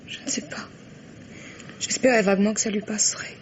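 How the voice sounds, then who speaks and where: An actress playing a frightened, distressed wife in an old French radio mystery, speaking haltingly and repeating her words. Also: medium pitch, fairly even intonation, in a whisper, natural voice. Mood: pleading. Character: frightened, distressed wife fleeing a violent husband. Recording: dull, noisy vintage radio recording.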